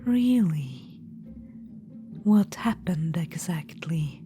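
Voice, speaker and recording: Undead witch-queen, whispered by a woman: low, mocking and unhurried, over soft music. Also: lively intonation. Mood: sly, probing. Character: undead witch-queen, cold, scheming and vengeful. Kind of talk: ASMR audio roleplay.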